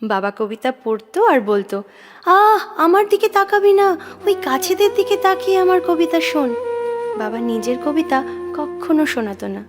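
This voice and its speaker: An actress playing a young woman who is a poet's devoted admirer, wistful, earnest and gently frank, in audio drama style. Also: very high-pitched, very animated, moderate pace. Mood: fond, nostalgic. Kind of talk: Bengali audio drama acting. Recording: reverberant voice with music underneath. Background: background music.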